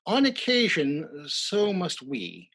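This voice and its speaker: A husky, animated host acted by a man narrates with arch wit. His voice swoops a lot, in the manner of old-time radio. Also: fairly high pitch, slow, heavily acted. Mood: wry. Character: wry, arch male radio play host.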